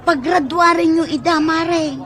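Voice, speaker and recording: A woman's voice: very high voice, steady tone, measured pace, crowd noise. A woman playing a chatty, admiring neighbour with an Ilocano accent in a radio drama. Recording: music and street bustle behind.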